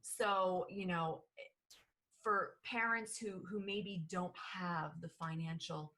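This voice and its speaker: Podcast host, woman, New Jersey accent, lower pitch, loose rambling delivery. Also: steady tone, slow pace, pushed and bright. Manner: rambling, many restarts and fillers. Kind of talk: casual interview chat.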